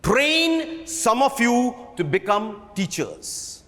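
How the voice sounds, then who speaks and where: A man performs a commanding, uncompromising theatre director of middle age. His delivery is slow and oratorical, with wide swings of pitch, ringing through a long hall reverb. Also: sing-song, fairly bright tone, exaggerated voice. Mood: firm, patient. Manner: deliberate, emphatic, oratorical. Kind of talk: dramatic book reading.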